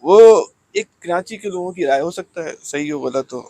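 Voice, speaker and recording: A man's voice: on the high side, animated, moderate pace, mellow, no echo. A man conducting a probing political interview, challenging and often interjecting.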